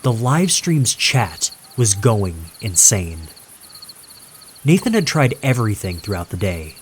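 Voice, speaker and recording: A man narrates a creepypasta in a fairly low register. His delivery is very slow and dramatic, leaving deliberate gaps to build suspense. Faint night ambience with insects sits behind the voice. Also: very animated, slight background noise. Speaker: horror story narrator.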